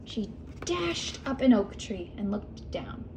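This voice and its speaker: A woman's voice: mid-range voice, big pitch swings, slow, darkish tone, a little hiss or hum. A woman reading a children's picture book aloud with expression, stopping to ask her young listeners questions. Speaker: read-aloud host.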